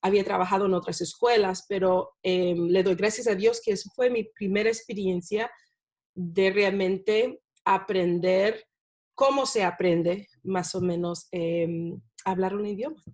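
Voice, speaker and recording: A woman's voice: medium pitch, a little slow, darkish tone. Thoughtful woman teacher, non-native Spanish speaker. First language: not Spanish. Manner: thoughtful, frequent fillers and tag questions. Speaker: guest, language teacher. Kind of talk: casual interview talk.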